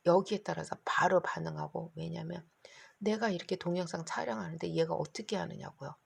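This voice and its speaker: A middle-aged woman talks into her phone in a long, rambling, anxious monologue. Her voice is low and very gravelly, with a husky, breathy edge, and she sounds hoarse. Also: a little fast. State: hoarse, keeps clearing throat. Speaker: vlogger. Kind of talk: casual monologue to camera.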